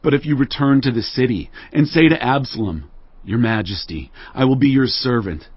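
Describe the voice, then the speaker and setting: A man's voice: medium pitch, moderate pace, slightly rough, no echo, lightly acted. An actor playing a weary, humble but commanding exiled king in a dramatized audio Bible. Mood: commanding, planning. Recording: dull audio over music bed.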